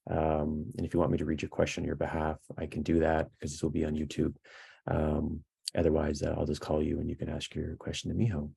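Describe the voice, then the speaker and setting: A man in his thirties hosting an online talk over a video call, introducing the session in a measured, halting way. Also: extremely low pitch, completely flat delivery, gravelly, warm tone, husky. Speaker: host of an online talk series. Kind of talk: casual scripted introduction. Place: small room at home, indoors. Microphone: video call, laptop at arm's length.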